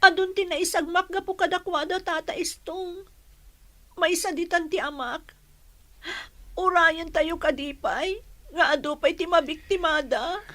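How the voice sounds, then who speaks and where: A woman's voice: extremely high pitch, unhurried, pushed and bright, full character voice. A woman playing an earnest, grieving young village daughter in an Ilocano radio drama. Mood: sorrowful, earnest. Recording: music behind the voice.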